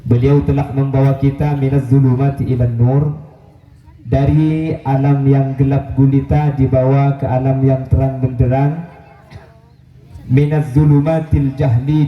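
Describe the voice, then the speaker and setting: A man's voice: mid-range voice, muffled recording. A man preaching an Islamic sermon to a gathering, addressing listeners directly in a joking manner and mixing in Arabic quotations. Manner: joking, self-deprecating, addresses the audience directly. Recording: roomy, distant, noisy live gathering recording.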